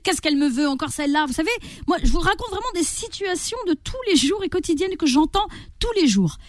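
A woman's voice: sits high, fast, no echo, studio quality. A middle-aged woman giving parenting advice as an interview guest, talking expressively and acting out the inner voices of stressed parents. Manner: animated, acts out inner voices.

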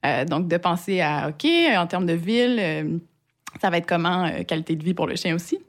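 A woman's voice: lowish voice, animated, brisk. A young woman with a Canadian French accent, chatting as a radio interview guest, hesitant with many fillers. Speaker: guest, basketball player's wife.

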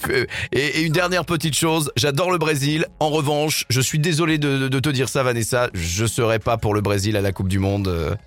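A man hosting a local radio show, interviewing a guest in a chatty, jovial manner with quick affirmations. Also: medium pitch, fairly even intonation, no room sound. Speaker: radio host.

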